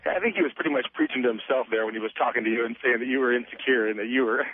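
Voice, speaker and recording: A man's voice: medium pitch, rapid-fire, breathy edge, no echo. A man calling in to a radio show, chatting casually and dismissively about critics. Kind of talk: casual call-in chat. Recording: tinny phone line on radio.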